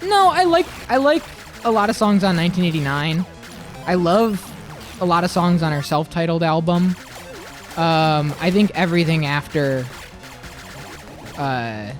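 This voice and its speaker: A man with a high voice chatting casually on a livestream, blunt and opinionated, swearing freely. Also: lively intonation, a little slow, soft background music. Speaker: livestream co-host. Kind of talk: casual chat on a gaming stream.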